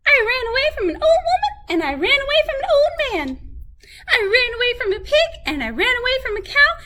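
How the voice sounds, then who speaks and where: A woman voicing a boastful little gingerbread man who taunts in a high sing-song chant. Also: big pitch swings, brisk, heavy background noise. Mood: gleeful taunting.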